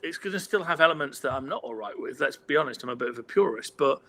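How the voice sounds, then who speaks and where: A British man hosting a livestream panel, opinionated and animated, with frequent stammered restarts. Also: mid-range voice, fast, vocal fry. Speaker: host.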